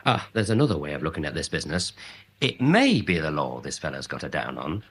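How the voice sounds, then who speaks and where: A pompous English amateur theorist for a radio comedy-mystery. His voice is a little rough and swings very widely in pitch. He talks in long, ponderous, self-important sentences. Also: sing-song, brisk, fairly bright tone, exaggerated voice. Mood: self-satisfied. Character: pompous, long-winded small-town man with amateur theories. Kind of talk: British radio comedy-mystery drama acting.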